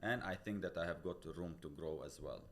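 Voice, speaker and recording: A man's voice: sits low, fairly quick, a bit bright, slight background noise. A man from Tajikistan, a real estate broker, explaining himself earnestly as a podcast guest, with a non-native English accent. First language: Pamir dialect. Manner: earnest, explains step by step with many restarts. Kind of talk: casual interview talk. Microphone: studio podcast mic.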